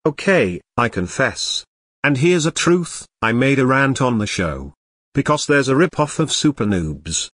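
A man's voice: medium pitch, big pitch swings, a little slow, fairly bright tone. A man voicing a bratty young boy who rants and insults in a cartoon. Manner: whiny, insulting ranting. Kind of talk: cartoon grounded-video dialogue.

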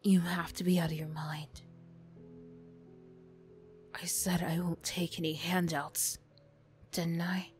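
A woman's voice: fairly low pitch, little pitch movement, slow, pauses often, soft background music. A woman voicing a defiant, guilt-ridden young female bully in an emotional ASMR roleplay, blunt and defensive. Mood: hushed, bitter.

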